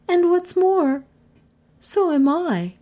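A woman's voice: very high-pitched, sing-song, takes it slowly, mellow, voice pushed up, exaggerated voice. A woman voicing a flustered, indignant young woman teacher in an audiobook story, plaintive and exclaiming.

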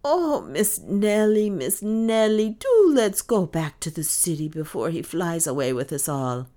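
A woman's voice: fairly low pitch, big pitch swings, a little slow, darkish tone, pitched above the performer's own voice. A woman voicing a superstitious, gloomy, stubborn old Irish maidservant with a dramatic, insistent delivery in an audiobook. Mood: pleading, mournful. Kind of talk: audiobook character dialogue.

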